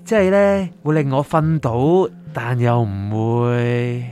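An actor playing an anxious, sleepless writer seeking help in a radio drama, pleading and doubting in Hong Kong Cantonese. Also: male voice, mid-range voice, animated, takes it slowly, darkish tone. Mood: troubled. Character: troubled, sleepless male writer seeking his doctor's help. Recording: music underneath.

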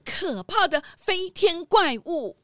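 A woman's voice: very high voice, animated, slow pace, very noisy, exaggerated voice. A woman narrating a children's mystery audio drama in a theatrical storytelling style. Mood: spooky.

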